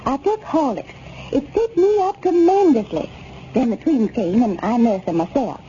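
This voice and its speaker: Woman, slightly high and expressive, reading an ad letter on noisy vintage radio. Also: normal speed. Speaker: letter reader. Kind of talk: scripted testimonial reading in 1930s radio ad. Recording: muffled, noisy 1930s radio recording.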